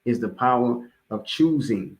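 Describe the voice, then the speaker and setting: A man's voice: mid-range voice, lively intonation, unhurried, poor audio. Male American preacher, mid-range voice, informal motivational address, emphatic repetition, conversational with listeners. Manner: emphatic repetition of key phrases. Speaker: religious speaker giving a public address.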